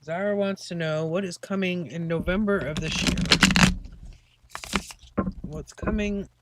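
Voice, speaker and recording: A woman's voice: on the low side, fairly even intonation, measured pace, noisy background. A woman doing a casual live-stream tarot reading, chatty and rambling with many fillers. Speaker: tarot reader.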